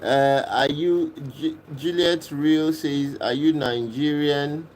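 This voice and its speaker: Man, from Kenya, livestream host, casual chat, unhurried, repeats himself, faint background noise. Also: a little high, fairly even intonation, a little mellow. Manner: unhurried, repeats himself, answers viewers by name.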